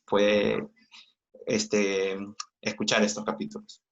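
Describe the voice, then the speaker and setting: A man's voice: fairly low pitch, monotone, slow pace, gravelly, fairly bright tone. A man hosting a casual interview, hesitant and often repeating his words. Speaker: interview host. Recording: dull, dry online call audio.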